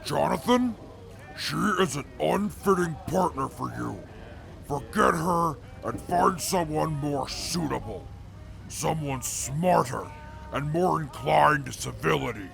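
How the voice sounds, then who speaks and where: Man as a gravelly, slow, pompous snob. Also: fairly high pitch, soft background music, full character voice. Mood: stern, commanding. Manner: pompous, deadpan, formal. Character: haughty, stern, scheming male companion with formal speech. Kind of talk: post-apocalyptic audio drama acting.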